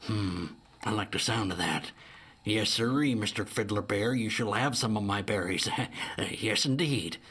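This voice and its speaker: A man's voice: fairly low pitch, measured pace, fairly bright tone, breathy edge. A man voicing a miserly, grumbling old male bear in a storybook reading. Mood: grudging.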